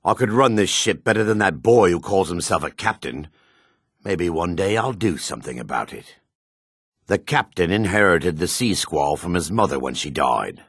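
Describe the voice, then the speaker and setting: An actor playing a proud, gruff dark elf warrior in a fantasy game, with a raspy voice and a haughty, clipped, sardonic manner. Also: male voice, deep voice, expressive, normal speed, no room sound, clean studio sound, exaggerated voice. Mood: grumbling. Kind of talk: fantasy video game character dialogue.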